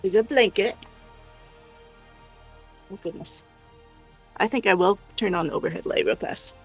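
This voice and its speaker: A woman giving a casual show-and-tell of her crafts on a livestream, explaining in a halting, self-interrupting way. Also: medium pitch, creaky, husky, soft background music. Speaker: crafter showing crochet projects on a livestream.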